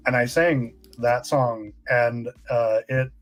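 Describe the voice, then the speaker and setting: A man in his thirties co-hosting a casual livestream over a video call, teasing and relaxed. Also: medium pitch, slow, soft background music. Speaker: co-host.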